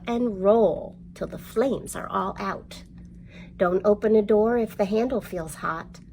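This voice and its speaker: Creaky-voiced woman hosting children's storytime. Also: medium pitch, a little hiss or hum. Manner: expressive, simple, encouraging address to children. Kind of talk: read-aloud storytelling and instruction for young children.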